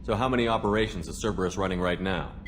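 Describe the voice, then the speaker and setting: Casting: male actor as authoritative military commander, very low voice, fast and clipped delivery, game dialogue. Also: noisy background, natural voice. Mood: businesslike. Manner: direct, questioning, authoritative. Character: brisk, businesslike male starship commander. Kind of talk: video game cutscene voice acting.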